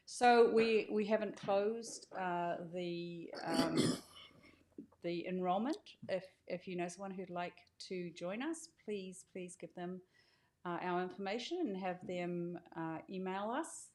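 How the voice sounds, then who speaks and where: A woman's voice: lowish voice, slow pace, a little mellow. An older woman speaking as an author and host, casually explaining things in an encouraging, inviting way. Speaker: author hosting a welcome video.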